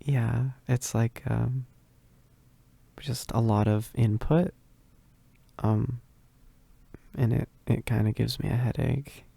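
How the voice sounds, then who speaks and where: Man playing a shy, mellow werewolf roommate. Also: medium pitch, fairly even intonation, slow, gravelly, long pauses, breathy edge, dry recording, full character voice. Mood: bashful, explaining. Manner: hesitant, stammering, bashful with soft chuckles. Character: shy, needy, gentle male werewolf roommate. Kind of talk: intimate ASMR roleplay.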